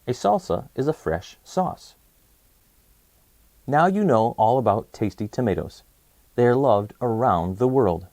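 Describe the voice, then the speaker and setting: A man hosting a children's read-aloud, presenting an educational book in clear, simple phrases for young listeners. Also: on the low side, darkish tone, no room sound.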